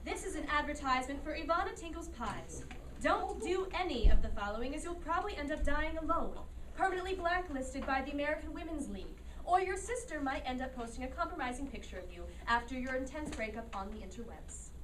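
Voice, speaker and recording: A woman's voice: high voice, big pitch swings, a little fast. A woman playing a television announcer in an amateur staged reading, declaring show intros and parody ads from a distance. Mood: announcing. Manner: presenterly, declarative. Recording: distant mic, faint noise.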